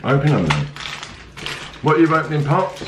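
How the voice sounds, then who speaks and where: A middle-aged man with a British accent talks straight into a camera held close to his face, reading snack labels aloud and tossing out quick asides. His voice rises and falls a lot. He is in an echoey home kitchen, with steady household noise behind him. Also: a little high. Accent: British English. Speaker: family vlogger. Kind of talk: casual family vlog, tasting snacks.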